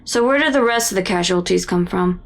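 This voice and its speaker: A woman's voice: lowish voice, brisk, a little hiss or hum, lightly acted. A woman playing a cold, stern intelligence officer in an audio drama, speaking in a formal, controlled way. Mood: probing. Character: cold, stern female military intelligence interrogator.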